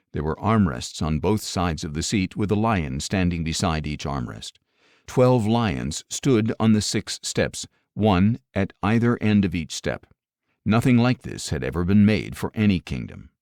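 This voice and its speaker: A man with a fairly low voice reads scripture as an audiobook narrator. The recording is dry and close, with no room sound. His delivery is measured and deliberate, each phrase set out clearly. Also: darkish tone, no room sound.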